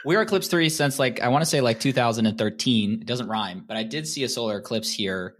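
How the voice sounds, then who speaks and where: A man's voice: medium pitch, quick pace, very noisy. A man with an American accent, a podcast guest making wry, joking asides over a video call. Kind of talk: casual podcast chat.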